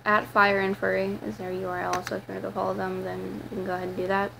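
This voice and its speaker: A teenage girl with a raspy voice, talking to her camera in a rambling, casual vlog full of fillers and restarts. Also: medium pitch, completely flat delivery, quick pace, fairly bright tone, slight background noise, low-quality recording. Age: teens. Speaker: vlogger.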